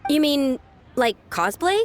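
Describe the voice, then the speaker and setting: A woman's voice: high-pitched, big pitch swings, takes it slowly, a little hiss or hum, heavily acted. A woman voicing an earnest, independent, slightly self-doubting young woman in a video game. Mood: hesitant. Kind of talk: video game character acting.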